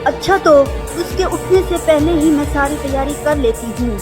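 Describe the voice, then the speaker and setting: A woman's voice: sits high, moderate pace, close to an everyday voice. A woman voicing a kindly, motherly village witch in a cartoon bedtime story. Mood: cheerful, purposeful. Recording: music under the voice.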